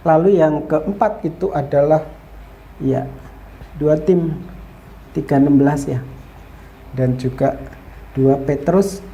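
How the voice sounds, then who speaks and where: A woman's voice: low voice, dark tone. A woman giving an informal lecture as a Bible teacher, explaining point by point and repeating herself with small check-in tags. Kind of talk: informal lecture explaining Old Testament introduction.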